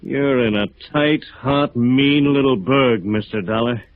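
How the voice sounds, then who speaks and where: A man acting a beaten, dazed American architect in an old radio play. He speaks haltingly at a middling pitch, with weary, bitter irony. The sound is narrow and tinny, like a vintage broadcast. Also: close to an everyday voice. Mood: bitter, resigned. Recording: muffled narrow-band vintage radio recording.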